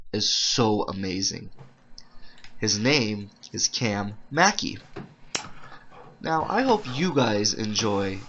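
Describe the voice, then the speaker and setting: A middle-aged man doing a casual, halting interview voice-over with informal, slangy phrasing, as if interpreting live. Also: mid-range voice, a bit bright, highs cut off.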